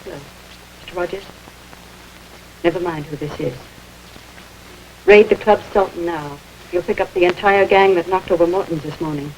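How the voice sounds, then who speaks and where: An actress playing a cold, insistent gangland informer in an old-time radio crime drama. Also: female voice, lowish voice, moderate pace, pauses often, natural voice. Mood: urgent, secretive. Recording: noisy vintage radio recording.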